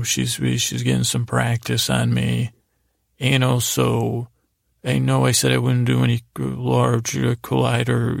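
Male podcast host, heavy vocal fry, dry recording; wandering, silly sleep-time monologue that keeps losing its thread. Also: medium pitch, dry recording. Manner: meandering, halting, trails off mid-thought; silly, mildly absurd humor. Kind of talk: rambling bedtime storytelling monologue.

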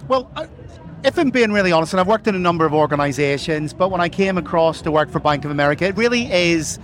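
Earnest Irish man, fairly high voice. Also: expressive, quick pace, a bit bright. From Ireland. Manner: earnest, corporate phrasing with many fillers. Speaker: corporate sponsor representative. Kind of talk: live interview answers. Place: outdoor festival grounds.

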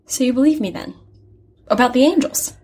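A woman's voice: high voice, expressive, fairly quick, mellow, breathy edge, full character voice. A young woman playing an earnest, chatty art student in an audio drama, rambling and hesitant as she explains herself. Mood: earnest, open. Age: young adult. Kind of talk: naturalistic audio drama acting.

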